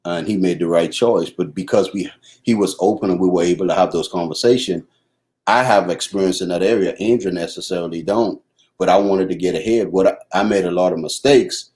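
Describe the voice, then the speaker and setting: Deep-voiced Bahamian man, halting speech. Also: a little mellow. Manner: halting, many restarts and fillers. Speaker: husband co-host. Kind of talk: casual conversation.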